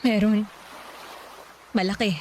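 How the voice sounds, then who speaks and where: A woman playing a gentle young student in a radio drama, speaking with a worried, hesitant, polite manner. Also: mid-range voice, fairly even intonation, slow pace, slight background noise, close to an everyday voice. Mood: sad, anxious.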